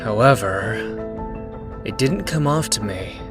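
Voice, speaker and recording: A man's voice: on the low side, expressive, slow, loud music behind the voice. An actor playing a curious, prying young man in an anime-style manga dub, musing aloud and probing.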